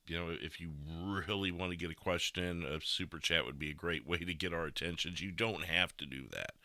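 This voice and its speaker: Deep voiced guy hosting a podcast, dry close sound, rambles a bit, jokes at his own expense. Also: brisk, pushed and bright, dry recording. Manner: rambling, self-deprecating, joking. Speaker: podcast host and game streamer. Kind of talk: casual podcast chat.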